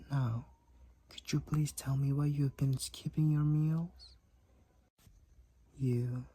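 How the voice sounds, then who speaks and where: A man voicing a devoted, protective vampire boyfriend with courtly old-fashioned phrasing and a husky, creaky voice, in an intimate roleplay audio. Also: medium pitch, mellow, full character voice. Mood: gentle, soothing. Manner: archaic, courtly phrasing, tender endearments. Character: devoted, overprotective young-looking male vampire boyfriend, 128 years old.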